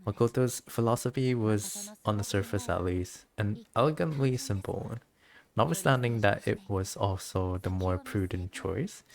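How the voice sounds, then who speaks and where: A woman voicing a dignified, reflective female shogun from a game in a formal, measured, solemn manner. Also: a bass voice, lively intonation, creaky, exaggerated voice.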